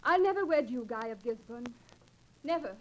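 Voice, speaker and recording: High-voiced, spirited noble lady. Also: expressive, moderate pace, poor audio. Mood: defiant. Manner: arch, theatrical, archaic formal speech. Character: proud, mocking young noblewoman, spirited and defiant. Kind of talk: 1940s radio acting.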